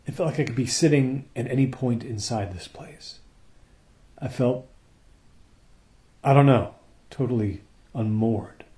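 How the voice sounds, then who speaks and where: Junior crewman, male actor, mid-range mellow voice, pensive, deferential, unmoored, naturalistic radio play. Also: mid-range voice.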